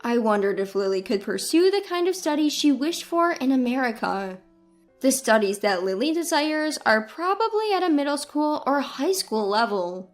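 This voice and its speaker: A woman's voice: high voice, sing-song, normal speed, soft background music. A woman reading a scripted first-person family story as its narrator.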